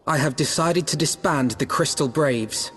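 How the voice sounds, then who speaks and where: A dignified young leader from a fantasy game, played by a man, earnest and formal. His fairly high male voice moves in measured, archaic phrases, with long hall reverb and faint music underneath. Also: a bit bright, lightly acted. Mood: solemn, earnest. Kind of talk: fantasy video game acting, archaic speech.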